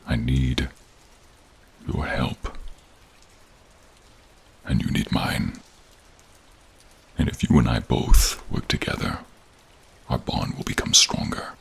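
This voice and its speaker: A man voicing a protective, caring male dragon with a deep, gravelly, breathy voice, speaking slowly, solemnly and formally in a fantasy audio roleplay. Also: a bit bright, exaggerated voice. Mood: earnest, entreating. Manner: slow, solemn, formal, dramatic pauses. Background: rain and cave ambience.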